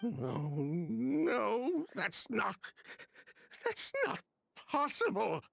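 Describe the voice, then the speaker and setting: A performer playing a distraught man grieving his brother in a video game, crying, stammering and pleading in a husky voice. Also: mid-range voice, big pitch swings, very slow, a bit bright, no room sound, clean studio sound, full character voice. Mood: devastated denial.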